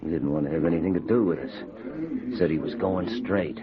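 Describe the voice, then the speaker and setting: A man's voice: low voice, fairly quick, a loud crowd, close to an everyday voice. A man playing a surly, defiant outlaw gang leader, curt and menacing, in an old-time radio adventure. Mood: uneasy, irritated. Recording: dull, noisy old radio recording.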